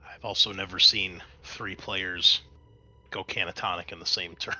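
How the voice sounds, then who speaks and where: A man's voice: sits low, fairly even intonation, measured pace, sharp tone, low-quality recording. A man working as a game master, narrating a tabletop role-playing game in a conversational, improvised way.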